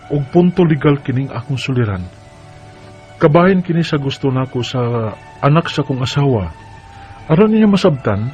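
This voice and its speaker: A man's voice: mid-range voice, a little mellow, close to an everyday voice. Radio drama suitor, male, twenties, Cebuano accent: tender, playful actor in role, over music, lo-fi sound. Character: tender, playful 28-year-old man courting a single mother. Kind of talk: Bisaya radio drama acting and letter narration. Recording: dull lo-fi audio with music bed.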